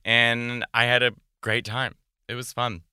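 A man who is a comedian, a guest in a casual interview, talking eagerly and rambling with quick restarts. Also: mid-range voice, flat delivery, bright, forceful tone, smooth, no echo, clean studio sound.

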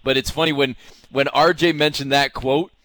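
A Canadian man hosts a casual sports interview. His voice sits at a medium pitch, and he talks in a chatty, rambling way full of colloquial asides. The sound is dry, with no room echo. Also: fairly even intonation, moderate pace, a bit bright. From Toronto area, Canada. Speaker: sports podcast host.

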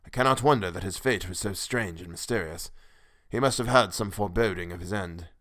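A man voicing an obsessed, volatile male treasure hunter in a gothic horror audiobook, gruff, given to growls and mutters. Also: low voice, brisk, fairly bright tone, no echo, studio quality. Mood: brooding, puzzled. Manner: growling, muttering, snarling outbursts.

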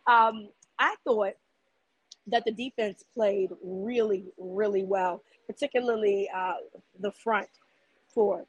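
Woman co-host, hoarse and raspy, lively casual sports chat, dry close mic. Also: mid-range voice, takes it slowly, no echo, highs cut off. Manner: animated, repeats words while thinking aloud.

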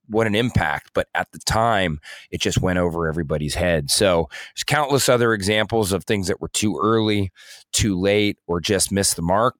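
A man's voice: low-pitched, dry recording, clean studio sound. A man co-hosting a podcast in casual discussion, explaining things in a rambling, hedging way. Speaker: podcast co-host.

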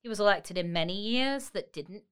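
Clear-voiced woman co-hosting a casual podcast. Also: mid-range voice, expressive, normal speed, fairly bright tone. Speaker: podcast co-host. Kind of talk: casual chat, retelling researched history.

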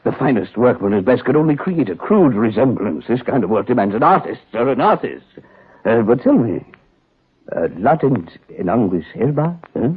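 Through a thin, tinny 1940s radio recording comes a self-important gem expert. The voice is lowish and badly husky, swinging extravagantly in pitch. He talks in florid, courtly phrases and repeats them with pride. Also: sing-song, normal speed. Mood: inquisitive, courtly. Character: fussy, pompous gemologist quoting Latin, theatrically proud. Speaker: actor in a radio detective drama.

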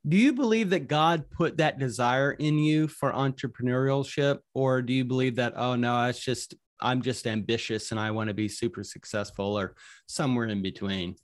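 A man's voice: mid-range voice, moderate pace. A man hosting a faith-based interview, asking thoughtful, searching questions with frequent restarts. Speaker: podcast host.

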